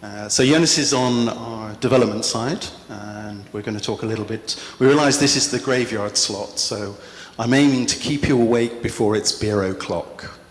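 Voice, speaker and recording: A middle-aged man giving a relaxed conference talk, self-deprecating and full of "uh" fillers. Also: mid-range voice, moderate pace. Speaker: conference speaker. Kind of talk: conference presentation. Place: large conference hall. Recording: echoey hall with faint noise.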